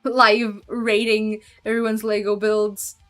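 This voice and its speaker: A woman's voice: mid-range voice, flat delivery, takes it slowly, a bit bright, faint music behind. A woman streamer chatting casually with her viewers and reading chat aloud, giggling often and trailing off with fillers. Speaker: streamer and hobby voice actor.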